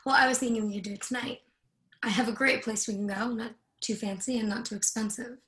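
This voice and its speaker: A woman playing a high-voiced, coaxing woman. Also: steady tone, fast, a bit bright, natural voice. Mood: soft, insistent. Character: coaxing, confident woman arranging a date. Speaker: actress in a screenplay reading. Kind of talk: dramatic table read.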